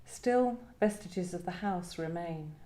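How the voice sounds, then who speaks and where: A woman's voice: on the low side, takes it slowly, dark tone. A woman, a poet speaking as a guest at a live literary event in a reflective style. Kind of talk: reflective discussion and poetry reading. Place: echoey indoor venue at a live event. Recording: roomy, echoing live venue.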